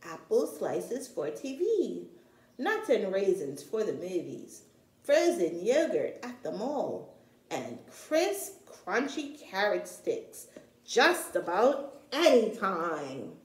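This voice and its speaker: A woman reading a children's picture book aloud in an expressive, deliberate storytelling manner, as if to young children. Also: medium pitch, echoey room, low-quality recording. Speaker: storytime reader, teacher-like.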